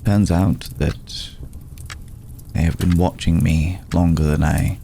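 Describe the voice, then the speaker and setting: A man playing an ancient, aristocratic male vampire in an ASMR roleplay, formal, courteous and brooding, with a soft, gravelly voice and deliberate pauses. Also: sits low, fairly even intonation, a little hiss or hum, full character voice. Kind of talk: ASMR roleplay, slow intimate acting.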